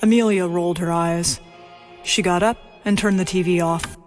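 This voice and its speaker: Measured female audio drama narrator. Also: fairly low pitch, fairly even intonation, soft background music.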